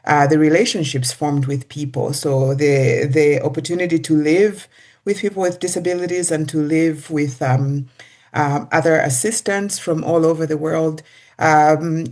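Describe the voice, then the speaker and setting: A middle-aged guest from Zambia, answering interview questions in a hesitant way with many pauses and restarts. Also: mid-range voice, darkish tone. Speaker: executive director, guest.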